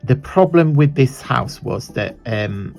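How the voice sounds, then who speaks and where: Italian man, croaky this morning, casual rambling craft host who corrects himself mid-sentence, mid-pitched voice. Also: expressive, takes it slowly, darkish tone. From southern Italy. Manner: rambling, chatty, self-correcting. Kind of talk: chatty crafting tutorial.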